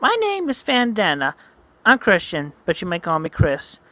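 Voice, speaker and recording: A woman's voice: lowish voice, huge pitch swings, moderate pace, pushed and bright, no echo, full character voice. A storyteller voicing a forward, chatty young woman in an amateur acted-out story. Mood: friendly. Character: young woman feigning romantic interest.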